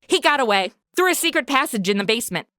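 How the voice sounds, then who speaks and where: Brash, teasing young comedy heroine, high-pitched, swinging intonation, exclamatory. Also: female voice, big pitch swings, fast talker, bright, forceful tone, no room sound, studio quality, full character voice. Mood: brash, chatty. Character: brash, excitable, wisecracking young woman. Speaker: show's lead and host. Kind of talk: comedy audio drama acting and narration.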